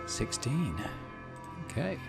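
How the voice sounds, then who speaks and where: A man hosting a gaming video, casually musing to himself in a low-key way and reading story text aloud as he plays. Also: big pitch swings, slow, creaky, loud music behind the voice.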